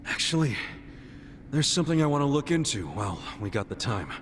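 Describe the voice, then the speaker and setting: An actor playing an earnest, stubborn, cheeky yakuza hero in an English game dub, casual and plain-spoken. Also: male voice, medium pitch, sing-song, brisk, a little hiss or hum, close to an everyday voice. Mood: casual, thoughtful. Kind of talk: English video game voice acting.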